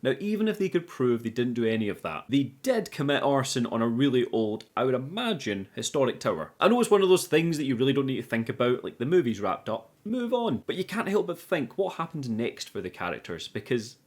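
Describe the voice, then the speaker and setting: A man's voice: medium pitch, big pitch swings, a little fast, hardly any pauses. A young man giving a casual, sweary movie recap as a review host, chatty and irreverent with cheeky asides. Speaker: host of a film review channel.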